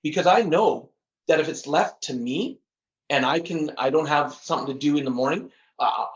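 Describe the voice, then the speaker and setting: A man's voice: on the high side, moderate pace, dull audio. A middle-aged man speaking earnestly as a podcast guest, often repeating words and laughing at himself. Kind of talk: casual interview chat.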